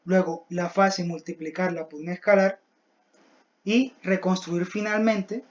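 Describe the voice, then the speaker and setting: A young student presents a technical class project with a mid-pitched voice. The delivery is didactic and halting, moving step by step through prepared material. The recording is dull and lo-fi. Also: steady tone. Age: young adult.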